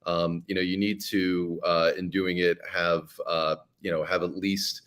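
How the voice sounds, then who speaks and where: A man's voice: deep voice, flat delivery, a little slow, a bit bright. A man, a podcast guest, talking in a casual interview with many fillers and restarts.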